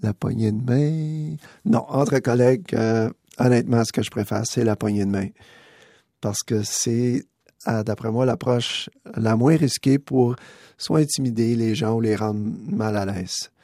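A man's voice: mid-range voice, a little slow, mellow, no echo, studio quality. A man with a Québécois accent from Abitibi, giving a hesitant, thinking-aloud answer as a listener. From Abitibi, Quebec. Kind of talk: casual on-air answer.

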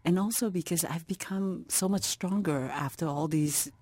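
A middle-aged woman from Vietnam, an author being interviewed, with a big, expressive voice and frequent laughter. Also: lowish voice, moderate pace, dry recording. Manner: expressive, lively, many restarts. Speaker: author, guest. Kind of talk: interview conversation.

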